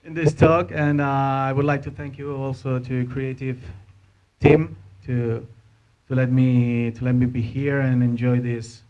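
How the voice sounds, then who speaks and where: A man in his thirties with a Spanish accent gives an informal conference talk as an animator, speaking halting non-native English into a handheld microphone. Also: medium pitch, flat delivery, muffled recording. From Spain. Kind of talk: informal presentation to an audience. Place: indoor hotel conference room.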